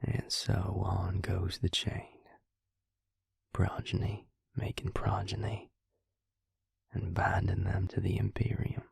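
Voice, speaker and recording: Audio roleplay role: devious vampire recruiter, played by a man. Slow, gravelly, breathy male voice, coolly menacing, close and dry. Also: sits low, a little mellow, dry recording, heavily acted. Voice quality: husky, breathy, gravelly half-whisper. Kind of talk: intimate whispered audio roleplay, addressed to the listener. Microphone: close, dry microphone.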